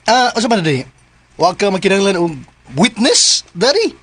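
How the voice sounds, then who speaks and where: A man's voice: high-pitched, sing-song, normal speed, a bit bright, husky, dry recording, heavily acted. A man playing a flamboyant, possessive, scheming bodyguard in a Filipino radio drama, exclaiming in a theatrical sing-song. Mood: sulky, hurt.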